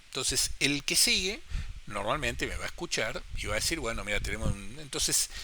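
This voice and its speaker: A man with an Argentine Spanish accent walks newcomers through amateur radio etiquette in a relaxed, patient way. His voice is very bright, with a middle pitch. A faint background hiss sits under the recording. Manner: explains step by step, asks listeners if they follow. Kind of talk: casual explanatory tutorial.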